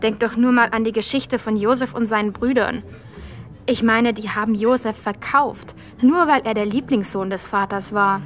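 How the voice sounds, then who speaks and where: A woman playing a sensible, thoughtful young girl in a children's audio drama, reasoning in a measured manner. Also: a little high, fairly even intonation, lightly acted.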